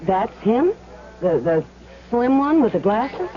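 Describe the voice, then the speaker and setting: A woman's voice: on the high side, big pitch swings, slow pace. An actress playing a hesitant, doubtful woman in a radio drama, talking in a flustered, questioning way. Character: hesitant, doubtful woman pulled into a gambling scheme. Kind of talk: vintage radio drama acting. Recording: muffled old radio recording, crowd murmur behind.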